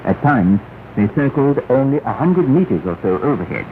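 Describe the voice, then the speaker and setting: A man announcing 1960s propaganda news on the radio, formal and emphatic, phrasing deliberately. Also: very animated. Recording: noisy 1968 shortwave radio, narrow band, rumble.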